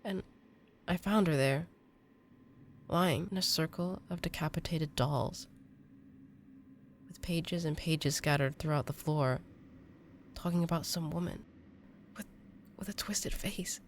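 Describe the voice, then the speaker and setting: Earnest young woman exorcist acted, lower pitch, emotional halting delivery, intimate roleplay, faint background noise. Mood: troubled, halting. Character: earnest young female exorcist defending her friend. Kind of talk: intimate audio drama acting.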